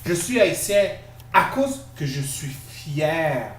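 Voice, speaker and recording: A man's voice: on the high side, very animated, slow pace, far from the mic. Earnest Quebec French man in echoey room. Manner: earnest, emphatic, repeats words when searching. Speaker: podcast co-host. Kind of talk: casual discussion. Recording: echoey room, off-mic, faint noise.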